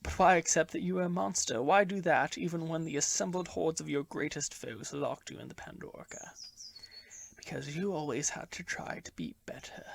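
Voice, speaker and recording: A man playing a dying, guilt-ridden time traveller in a fan audio drama, speaking in a brooding, theatrical, halting way. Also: fairly high pitch, creaky. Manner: brooding, theatrical, halting delivery dropping into whispers. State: weak, in pain, dying.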